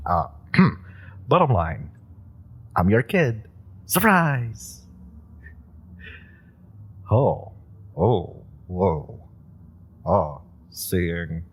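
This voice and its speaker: A man's voice: sits low, husky, exaggerated voice. A man playing an eager, awkward young male super-powered rookie, rambling with nervous laughs and many fillers. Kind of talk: audio roleplay acting.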